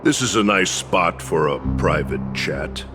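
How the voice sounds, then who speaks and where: A sly old warrior mentor from a fantasy game, with a very deep voice that swings expressively as he teases and spins tales. He takes his time over each grand phrase, with music playing underneath. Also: expressive. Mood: calm, probing. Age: older. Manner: deliberate, grandiose, teasing. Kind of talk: theatrical fantasy game acting.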